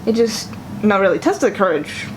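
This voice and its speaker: A woman's voice: mid-range voice, animated, brisk, noisy background. A young woman vlogging casually about books, chatty and enthusiastic, correcting herself often and using plenty of fillers. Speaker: book vlogger. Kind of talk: casual vlog tier ranking.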